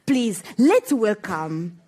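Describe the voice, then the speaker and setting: A woman opens a session from the stage, speaking slowly and carefully as she announces the lineup. Her voice sits at a mid pitch, with each phrase clearly set apart. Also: sing-song, mellow. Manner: slow, deliberate announcing. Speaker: event presenter. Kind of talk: stage introduction. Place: large conference hall.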